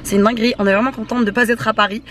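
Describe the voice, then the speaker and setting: A woman's voice: a little high, fairly even intonation, rapid-fire, a bit bright, a little hiss or hum. A young woman in her twenties, chatty and upbeat, vlogging casually with a camera held at arm's length. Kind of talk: casual vlog chat to camera. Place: busy restaurant, later a car.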